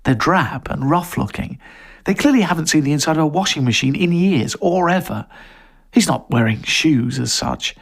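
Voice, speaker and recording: Animated man narrating, slightly gravelly. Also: fairly high pitch, normal speed. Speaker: narrator reading a short story. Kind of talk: scripted story narration with character dialogue.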